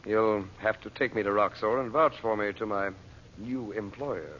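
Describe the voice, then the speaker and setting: An actor playing a bold, confident magician uncle in an old-time radio adventure serial, commanding and reassuring. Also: male voice, lowish voice, normal speed, a bit bright, natural voice. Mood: confident, insistent. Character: bold, self-assured magician and uncle, planning a dangerous disguise. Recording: dull, noisy old radio recording.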